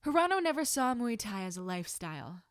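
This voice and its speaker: A woman voicing an encouraging adult female martial arts teacher in measured, instructive story dialogue. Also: on the high side, big pitch swings, no room sound, clean studio sound. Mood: calm, reassuring. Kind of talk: audiobook-style character dialogue.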